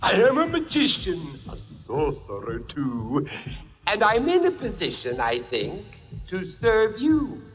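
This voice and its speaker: A scheming cartoon sorcerer, theatrical and fawning. The voice is high and very slow, drawing out grand phrases to flatter. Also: male voice, exaggerated voice.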